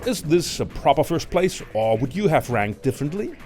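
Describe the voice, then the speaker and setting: A man's voice: on the high side, very animated, normal speed. A man presenting a scripted film review as a ranked list, in the manner of a video narrator. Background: music.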